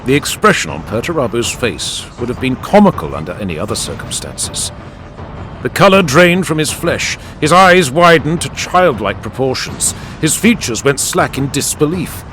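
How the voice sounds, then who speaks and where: A man's voice: mid-range voice, very animated, normal speed, fairly bright tone. A man reading dramatic scripted narration as an audiobook narrator. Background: music and added sound effects.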